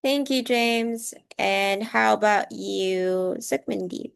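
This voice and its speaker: A woman's voice: mid-range voice, steady tone, takes it slowly, vocal fry, clear, resonant tone. A woman coordinating an online group meeting, casually leading everyone through introductions over a video call. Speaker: program coordinator.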